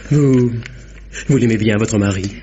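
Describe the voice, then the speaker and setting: An actor playing an awkward, ardent young student in a French radio drama, hesitant yet impassioned. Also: male voice, lowish voice, a little slow, warm tone, natural voice. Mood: shy, tender. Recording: muffled, noisy old radio recording.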